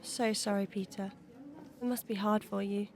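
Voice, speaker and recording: A woman playing a gentle, sympathetic young friend who consoles, in an audio drama. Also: medium pitch, fairly even intonation, moderate pace, a little hiss or hum, lightly acted. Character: Chloe, sympathetic, supportive young female friend.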